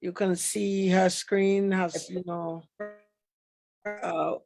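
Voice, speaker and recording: A woman's voice: fairly low pitch, little pitch movement, extremely slow, a little mellow, soft background music, poor audio. A woman hosting an online talk show, chatty and welcoming, with many fillers and restarts. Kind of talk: casual interview hosting.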